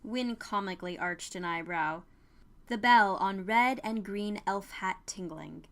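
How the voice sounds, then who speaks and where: A woman serves as narrator of an audio story. Her voice is medium in pitch and she reads scripted prose. Music plays underneath her. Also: unhurried, a bit bright.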